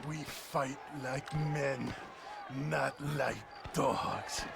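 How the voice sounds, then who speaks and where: Gravelly, sneering Mexican soldier villain. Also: male voice, medium pitch, drawn-out words, very noisy, full character voice. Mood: sneering menace. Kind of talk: dramatic video game acting.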